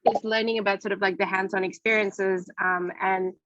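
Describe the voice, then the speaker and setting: A woman in her thirties from Indonesia speaking informally on a panel over a video call, hesitantly, with frequent pauses and repeated phrases. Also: mid-range voice, flat delivery, a bit bright, no echo. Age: 30s. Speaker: panelist, children's science programme co-founder. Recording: dull, lo-fi video call audio.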